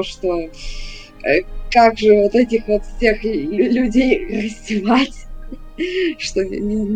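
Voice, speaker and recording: A woman's voice: a little high, darkish tone. A woman running a tabletop game, giving casual, hesitant feedback over an online voice chat and often repeating words as she talks. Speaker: game master.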